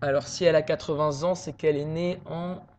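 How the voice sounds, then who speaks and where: A man making a prank phone call, posing as a worried son and talking hesitantly with many pauses and fillers. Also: fairly high pitch, fairly even intonation, a little mellow, slight background noise.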